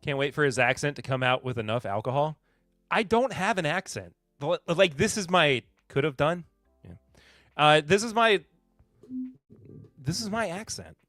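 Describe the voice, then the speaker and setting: Laid-back bourbon podcast host from Kentucky. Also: male voice, a little high, expressive, normal speed, a bit bright, no echo, clean studio sound, soft background music. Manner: laid-back, chuckling, reads chat comments aloud. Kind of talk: casual live-stream chat.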